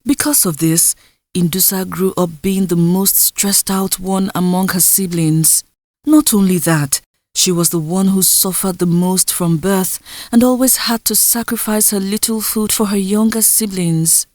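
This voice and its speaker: A woman's voice: medium pitch, steady tone, a little slow, darkish tone, no room sound. A woman reading scripted folktale narration as a storyteller.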